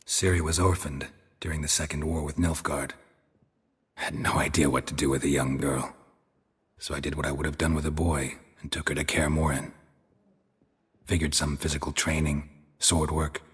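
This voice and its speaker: An actor playing a stoic, weary middle-aged monster hunter in a video game, with a gravelly, husky voice and terse, clipped delivery. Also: male voice, rumbling low, level tone, normal speed, fairly bright tone, full character voice. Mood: somber, reflective. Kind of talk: restrained video game dialogue.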